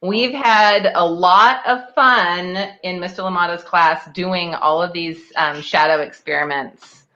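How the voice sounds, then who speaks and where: A woman's voice: fairly low pitch, measured pace, pushed and bright. A woman doing a casual show-and-tell as a participant on a video call, speaking into a computer microphone. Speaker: parent showing shadow experiments with a child. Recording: dull, lo-fi video call audio.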